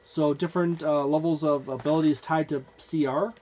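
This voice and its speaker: A man's voice: a little high, fairly even intonation, moderate pace, a little mellow, slight background noise. A man giving an unscripted review of a tabletop game book, meandering and thinking aloud as he goes.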